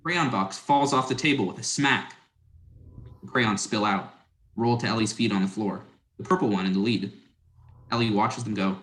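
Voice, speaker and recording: A man serves as narrator for a dramatic script reading. His voice is on the low side, delivering scripted stage directions. The sound is noticeably echoey. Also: moderate pace, long pauses, fairly bright tone. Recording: roomy, echoing sound.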